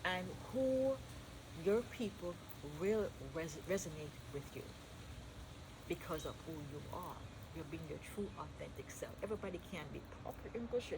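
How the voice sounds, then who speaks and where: A woman's voice: fairly low pitch, lively intonation, moderate pace. Chatty Jamaican woman, noisy recording. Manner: chatty, stops to correct herself. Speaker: vlogger. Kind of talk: reading tips aloud and commenting.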